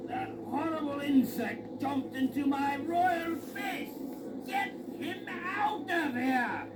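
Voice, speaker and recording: Imperious storybook king, very high voice, slow stately delivery, indignant, heavy old-record noise. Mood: outraged. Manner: imperious, deliberate pronouncements.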